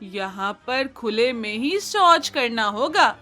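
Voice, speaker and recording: Woman voicing a loud, high-pitched bossy village mother. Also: very animated, takes it slowly, full character voice. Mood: brisk, insistent. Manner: emphatic, scolding, matter-of-fact village talk. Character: bossy, proud older village mother and mother-in-law. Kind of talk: animated moral-story voice acting.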